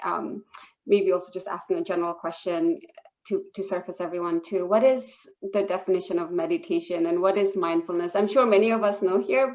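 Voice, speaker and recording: A woman's voice: lowish voice, a little mellow, lo-fi sound. A woman hosting an online session over a video call, friendly and loose, with many fillers. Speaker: webinar host.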